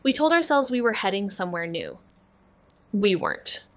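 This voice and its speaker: A woman playing a moody, wry young noir narrator with a terse, deadpan delivery in an audio drama. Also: medium pitch, normal speed, dry recording. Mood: brooding. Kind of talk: scripted noir narration and dialogue.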